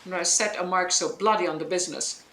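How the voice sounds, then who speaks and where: A woman's voice: fairly low pitch, brisk, fairly bright tone. A woman playing a bitter, scholarly deposed duchess and sorceress mother in Shakespearean audio drama, speaking in a declamatory, emphatic way that demands attention. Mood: grave, intent. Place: indoor room, recorded over internet link. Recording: roomy remote recording, noise.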